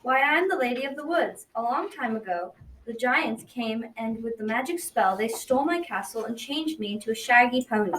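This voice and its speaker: A kindly enchanted woodland lady acted by a young girl, gracious and high-voiced. It sounds like a school radio play caught in an echoey room, a little far from the mic. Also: lively intonation, normal speed, fairly bright tone. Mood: joyful, gracious. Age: child about 12 to 13. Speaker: student actor in a school radio drama. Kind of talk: amateur radio drama acting. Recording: echoey room, distant mic, faint noise.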